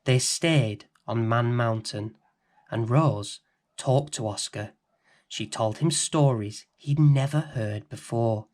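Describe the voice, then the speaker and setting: A man reading a children's bedtime story aloud as host, in an expressive, dramatic, theatrical manner. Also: medium pitch. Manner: dramatic, theatrical, deliberate phrasing.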